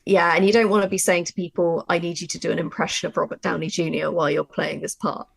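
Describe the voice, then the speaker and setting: Woman, low register with vocal fry, informal and emphatic workshop speaker who illustrates her points. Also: level tone, fast talker. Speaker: audio drama writer leading a workshop. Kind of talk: conversational workshop discussion.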